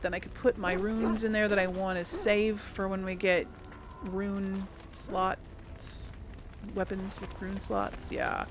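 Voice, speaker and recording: Creaky-voiced woman gamer. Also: medium pitch, a bit bright, slight background noise. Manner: musing aloud, halting. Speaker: gaming channel host. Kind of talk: casual let's play commentary with light role play.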